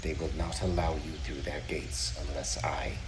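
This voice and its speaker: A man playing an imperious, menacing fantasy lord who speaks in formal, measured, condescending phrases for an audio drama. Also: a bass voice, level tone, echoey room, noisy background. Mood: quiet threat. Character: imperious, menacing elven lord, stern and contemptuous.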